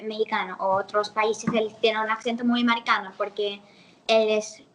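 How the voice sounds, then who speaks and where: A girl in her early teens from the United States, chatting in an interview and often pausing to search for words. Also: medium pitch, little pitch movement, a little hiss or hum, rough recording. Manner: halting, searching for words, giggly. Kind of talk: casual multilingual chat.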